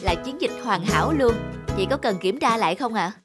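A woman's voice: on the high side, lively intonation, normal speed, over loud music, close to an everyday voice. A woman voicing a practical, scheming young female assistant in a cartoon, deferential in manner. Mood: businesslike. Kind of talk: cartoon dub acting.

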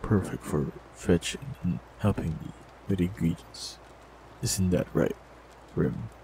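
A man with a very deep, gravelly voice speaks calmly and gently into a close mic, with a soft teasing edge. He performs a scripted fantasy audio roleplay. A faint hiss sits under the recording. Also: takes it slowly. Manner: calm, gentle, softly teasing.

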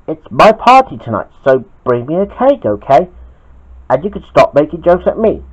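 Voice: medium pitch, huge pitch swings, slow, pitched above the performer's own voice, full character voice. A performer voicing a cross, sad, bossy boy in a children's story, with emphatic exclaiming delivery. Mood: bossy, impatient. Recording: dull lo-fi recording.

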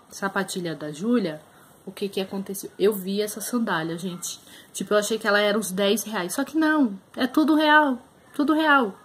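A woman's voice: medium pitch, a little hiss or hum. A young Brazilian woman with a Brazilian Portuguese accent, chatting casually to camera in a small room as she shows her thrift finds. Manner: chatty, shows items up close, many fillers. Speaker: vlogger. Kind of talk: casual haul video chat.

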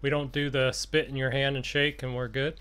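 A man's voice: mid-range voice, little pitch movement, fairly quick, fairly bright tone, smooth. A laid-back man in casual gaming role-play as a farmer, talking hesitantly. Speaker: host, gamer role-playing as farmer buyer.

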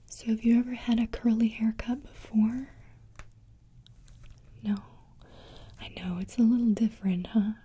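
Softly whispering woman, ASMR salon roleplay. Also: on the high side. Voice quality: soft, breathy whisper. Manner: gentle, reassuring, chatty with the client. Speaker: ASMR roleplayer playing a hair stylist. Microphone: close ASMR microphone. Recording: faint noise, small mic bumps and clicks.

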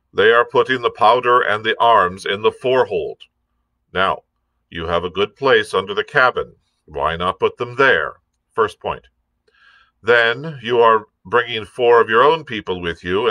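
A man's voice: mid-range voice, sharp tone, no room sound, pitched above the performer's own voice. A man reading a storybook, voicing a blunt, stern and prickly sea captain in an emphatic, clipped manner. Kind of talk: audiobook character reading.

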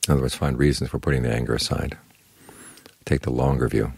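Very deep, gravelly man, calmly instructive. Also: fast talker, no echo. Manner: calm, instructive, plain-spoken. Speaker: Buddhist monk, meditation teacher. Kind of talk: short spoken Dhamma talk guiding meditation.

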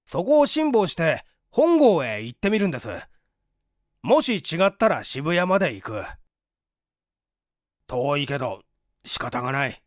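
A man's voice: a little high, big pitch swings, a little slow, pauses often, no room sound, clean studio sound. A man voicing a hungry, penniless young man, weary but courteous, coaxing and grumbling politely in a dramatised story reading. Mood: resigned, grumbling.

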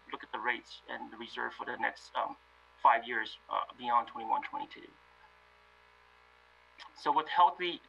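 Man, finance director type, gravelly and bright, measured report delivery over muffled call audio. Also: medium pitch, a little hiss or hum. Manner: measured, many fillers. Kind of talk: presenting a staff report. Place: remote video meeting.

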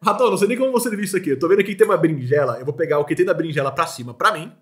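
A man's voice: a little high, very fast, a bit bright, reverberant. A man in his thirties with a Brazilian accent, chatting informally and emphatically as host of a food show. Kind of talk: casual chat. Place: indoor studio set at a table. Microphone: desk microphone on the table.

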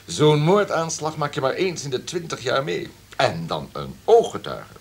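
An actor playing a domineering, calculating radio station boss in a Dutch radio drama, with a pompous, commanding manner. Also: male voice, fairly high pitch, very animated, moderate pace, full character voice. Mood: forceful, calculating. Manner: pompous, commanding, throat-clearing.

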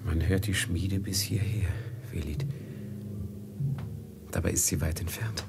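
An actor in a 1950s radio play, playing a feverish merchant haunted by doom, solemn and poetic, often sinking to a whisper. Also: male voice, low voice, fairly even intonation, a little slow, creaky. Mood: haunted, weary.

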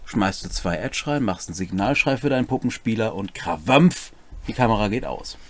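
A man's voice: on the low side, animated, moderate pace, a little hiss or hum. A middle-aged man co-hosting a casual explanatory chat about tabletop game rules. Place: small cluttered home room.

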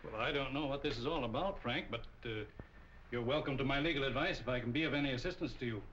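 Dignified older lawyer played by a man, bright-voiced. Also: medium pitch, quick pace, lightly acted. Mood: protective. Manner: polished, formal, protective. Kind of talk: 1940s crime film acting. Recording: muffled, noisy old film soundtrack.

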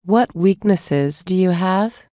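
A woman reading English example phrases for a language lesson, saying many of them twice in a measured way. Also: on the low side, mellow, dry recording, clean studio sound. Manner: measured, repeats each phrase slowly. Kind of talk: slow scripted phrase reading.

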